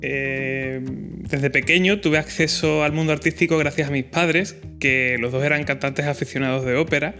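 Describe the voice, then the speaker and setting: A man's voice: a little high, steady tone, normal speed, sharp tone, faint music behind. A man, hosting a solo podcast in an earnest, reflective way. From Spain. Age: 30s. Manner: earnest, reflective, with fillers and restarts. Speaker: podcast host.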